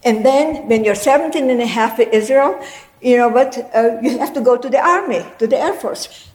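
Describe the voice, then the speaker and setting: Lively older woman with a German mother tongue. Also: fairly high pitch, moderate pace, reverberant, poor audio. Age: 80s. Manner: lively, rambling, with frequent restarts. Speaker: guest speaker. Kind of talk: storytelling talk to an audience.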